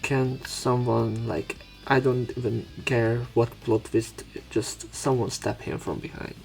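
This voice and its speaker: A man's voice: medium pitch, little pitch movement, unhurried, vocal fry, faint music behind, poor audio. A man reading game narration aloud as a let's player, breaking into irreverent, casual commentary. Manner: irreverent, crude asides.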